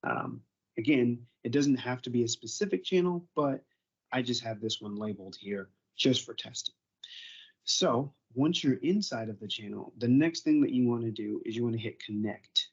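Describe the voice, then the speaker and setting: A man giving an informal software tutorial as a presenter, explaining step by step and often rephrasing himself. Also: mid-range voice, expressive, normal speed, slightly rough. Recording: dull, muffled online meeting audio.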